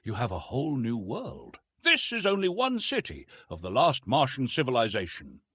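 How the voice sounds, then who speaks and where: A man's voice: medium pitch, wildly swooping pitch, normal speed, fairly bright tone, husky, no echo, clean studio sound, exaggerated voice. A man voicing a weary old scholar. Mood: resigned. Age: older. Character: aging male scholar, weary and resigned. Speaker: archaeologist.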